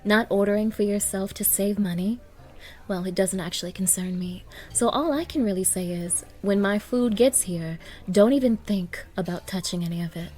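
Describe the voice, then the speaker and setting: A woman's voice: medium pitch, normal speed, a little mellow, lightly acted. A woman playing a haughty, demanding young gold digger on a date, scolding and condescending in an audio roleplay. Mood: scornful. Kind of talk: ASMR-style dramatic roleplay.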